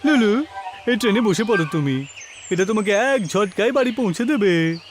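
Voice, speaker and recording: Man playing high-voiced, showy magician uncle. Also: animated, normal speed, noisy background. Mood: encouraging. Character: showy, confident village magician uncle with catchphrase spells.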